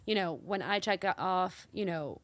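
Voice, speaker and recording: A woman's voice: on the low side, unhurried. A woman from Mexico co-hosting a casual talk show, speaking earnestly and reflectively with many fillers. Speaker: co-host. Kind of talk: casual chat.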